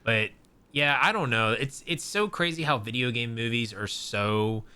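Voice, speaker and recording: A young man hosting a podcast, chatting excitably in a rambling way with many restarts. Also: mid-range voice, normal speed, smooth. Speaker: podcast host. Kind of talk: casual chat.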